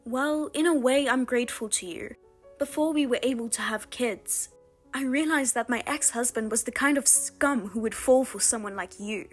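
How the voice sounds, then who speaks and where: A woman plays a bitter older sister in a voiced drama, cold and firm, keeping her voice flat and restrained. Her voice sits fairly high, with soft music underneath. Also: moderate pace, lightly acted. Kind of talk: narrated drama voice-over.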